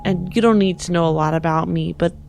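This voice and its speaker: A woman playing an earnest, protective technomancer in a science fiction tabletop game, speaking with measured, hesitant pauses. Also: on the low side, fairly even intonation, gravelly, a little mellow, soft background music. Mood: pleading. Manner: measured, hesitant pauses, pleading yet firm.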